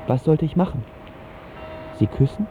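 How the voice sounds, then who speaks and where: A man's voice: a little high, animated, moderate pace, phone-quality sound, a little hiss or hum, natural voice. An actor playing a lovestruck, self-doubting seventeen-year-old boy who tells his story in casual slang for a radio drama. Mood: excited, awestruck. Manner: slangy, colloquial. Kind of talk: scripted first-person radio drama narration.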